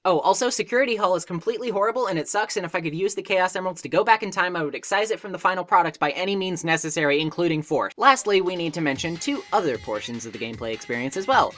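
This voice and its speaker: A woman with a notably low voice delivers scripted review narration. Her intonation moves around a lot, with wry, opinionated asides in a conversational manner. Faint music plays behind her. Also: lively intonation, quick pace, hardly any pauses, a bit bright. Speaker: video essay host and game reviewer. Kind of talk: scripted video essay narration. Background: background music.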